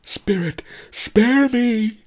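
A man's voice: high voice, very slow, darkish tone, voice pushed up. A man voicing a crooked, cowardly, blustering pawnbroker in an audiobook. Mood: terrified, wailing. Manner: snarling, blustering, wailing when frightened.

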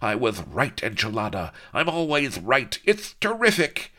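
A man's voice: mid-range voice, huge pitch swings, a little slow, sharp tone, pitched above the performer's own voice, heavily acted. A man voicing a hysterical, gloating villainous Spanish don who shrieks and cackles.

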